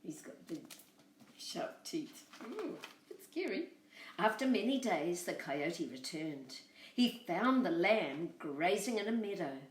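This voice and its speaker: Woman reading a picture book to kids, slow and really expressive, up and down voice, echoey, bit far from the mic. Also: lowish voice, slight background noise. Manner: animated, addresses young listeners directly. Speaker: children's storyteller. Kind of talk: expressive storybook reading for children. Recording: roomy echo, far from mic.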